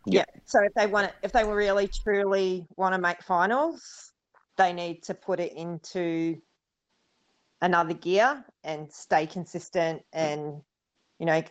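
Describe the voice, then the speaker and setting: Aussie woman on a footy podcast, lowish voice, rambly, kinda muffled audio. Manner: rambling, many fillers. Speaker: podcast co-host. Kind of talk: casual podcast chat. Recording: dull lo-fi sound.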